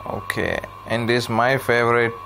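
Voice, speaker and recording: A man's voice: medium pitch, flat delivery, a little slow, creaky, sharp tone, a little hiss or hum. A man presenting a simple how-to tutorial as an unscripted voice-over. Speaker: app tutorial host.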